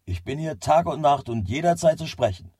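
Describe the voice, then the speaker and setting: A stubborn working man in a radio play, with a fairly high voice that swings widely in pitch. He is excitable and sweary, quick to go from a mutter to an outburst. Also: husky, dry recording, clean studio sound. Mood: determined. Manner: sweary, volatile, from muttering to exclaiming. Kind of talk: German radio drama acting.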